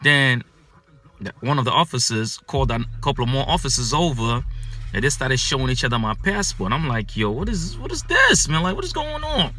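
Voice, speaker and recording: Bright-voiced man telling a story. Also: mid-range voice, fairly quick, faint music behind. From Ghana, raised in the United States. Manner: animated, repetitive, peppered with "man" and "you know". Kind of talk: casual personal storytelling.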